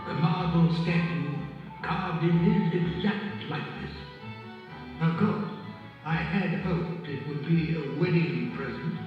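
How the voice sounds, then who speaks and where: A man playing a fussy, pompous older royal advisor in an animated storybook, speaking formally. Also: on the high side, slow pace. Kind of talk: animated film read-along acting. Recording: echoey, distant, dull sound with music.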